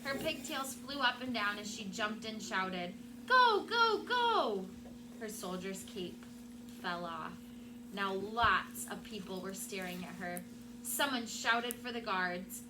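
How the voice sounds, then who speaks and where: A woman teacher doing an expressive storybook read-aloud for her class. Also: medium pitch, measured pace. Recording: clear background room noise.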